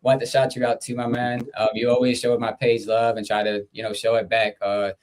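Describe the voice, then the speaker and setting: A man's voice: mid-range voice, flat delivery, fairly quick, slight background noise. A man with a Baltimore accent, guesting on a casual chat, easygoing and hesitant with fillers.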